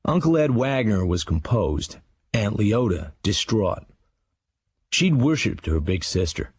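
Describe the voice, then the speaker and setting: An actor playing a haunted, hard-bitten American writer, narrating a memoir in blunt, clipped sentences for a radio drama. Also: male voice, fairly low pitch, big pitch swings, measured pace, husky, no room sound, exaggerated voice. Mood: hard-bitten, bleak.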